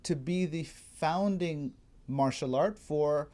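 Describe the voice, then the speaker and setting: A man in a casual chat, explaining history as a guest in an informal, digressive way, as if thinking out loud. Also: fairly high pitch, slow pace. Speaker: guest martial arts enthusiast explaining history.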